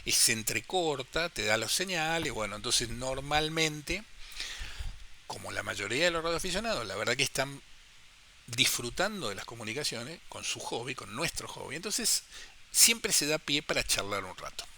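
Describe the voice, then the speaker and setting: Bright-voiced Argentine man explaining radio. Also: medium pitch, sing-song, normal speed, a little hiss or hum. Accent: Argentine Spanish. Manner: explains step by step, asks listeners if they follow. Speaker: amateur radio instructor. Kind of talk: casual explanatory tutorial.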